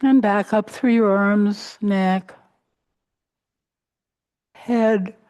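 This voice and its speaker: Slow, mellow woman guiding a meditation. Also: medium pitch, fairly even intonation, clear, resonant tone, no echo. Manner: gentle, unhurried, pausing between short phrases. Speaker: meditation teacher. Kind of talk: guided meditation, body scan.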